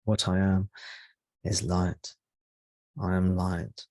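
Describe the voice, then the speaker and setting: A man speaking as a spiritual teacher leading a guided meditation, in slow, measured short phrases with pauses between them. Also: low voice, monotone, a little mellow, no room sound.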